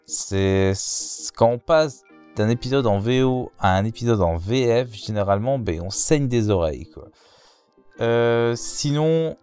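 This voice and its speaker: A man casually answering viewer questions in an animated, joking way. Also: mid-range voice, clean tone. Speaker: fandub group member.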